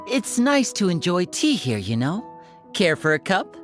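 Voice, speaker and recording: A woman's voice: on the low side, sing-song, takes it slowly, faint music behind. A woman voicing a courteous, playful young stage magician in a video game, with polite, suave delivery. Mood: pleasant, intrigued.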